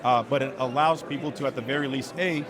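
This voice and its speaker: A man speaks quickly at a middle pitch, like a business owner casually explaining his product line. He uses informal sales jargon, with heavy convention crowd noise around him. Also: steady tone. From Turkey or of Turkish family. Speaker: optics company owner at a trade show booth. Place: trade show floor. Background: loud crowd chatter.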